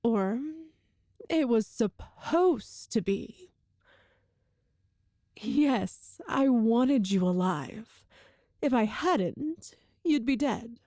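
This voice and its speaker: A woman playing a cruel, seductive empress in a dramatic ASMR roleplay, with haughty, theatrical, deliberate phrasing. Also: a little high, lively intonation, dark tone, no echo, clean studio sound. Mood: taunting, coaxing. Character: cruel imperious empress, seductive, menacing tyrant.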